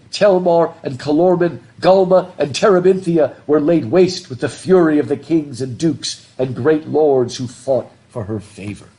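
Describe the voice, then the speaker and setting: A man's voice: a little high, warm tone. A middle-aged man teaching a literature class with a stuffed up nose, chatty and laughing as he asks the class questions. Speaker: professor. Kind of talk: classroom lecture. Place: classroom with a blackboard. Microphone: laptop webcam.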